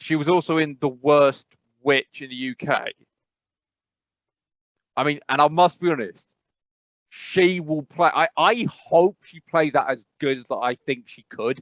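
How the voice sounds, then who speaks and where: A man's voice: fairly high pitch, unhurried, pauses often, fairly bright tone, no room sound. Rambling man, dry dull sound. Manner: rambling, self-correcting, frequent "I must be honest". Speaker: podcast co-host. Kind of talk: casual chat.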